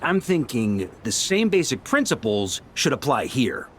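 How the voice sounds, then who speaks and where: An actor playing a composed, scholarly young male knight in a game, calmly explaining things. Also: mid-range voice, a little slow, slight background noise. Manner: calm, articulate explainer. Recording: clear game music behind.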